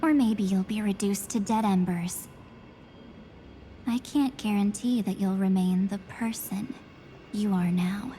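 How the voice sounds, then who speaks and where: A woman voicing a calm, mysterious female double who lives inside another girl's memories, speaking slowly in a hushed, measured way. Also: mid-range voice, slight background noise, natural voice. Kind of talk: video game cutscene voice acting.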